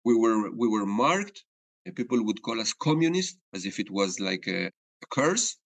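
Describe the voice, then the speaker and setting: Halting middle-aged man from Chile. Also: on the low side, sing-song. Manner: halting, with frequent pauses and fillers. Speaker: interviewee. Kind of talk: personal testimony in an interview.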